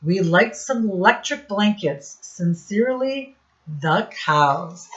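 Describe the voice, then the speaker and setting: Woman reading as slow, polite, demanding storybook cows. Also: mid-range voice, animated, fairly bright tone, dull audio. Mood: polite, firm. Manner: measured, formal letter-reading.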